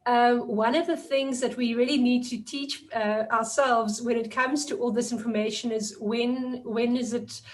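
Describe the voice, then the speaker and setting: Woman, slightly high voice. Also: steady tone. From South Africa. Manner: thoughtful, measured, with fillers. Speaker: guest lecturer. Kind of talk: webinar discussion.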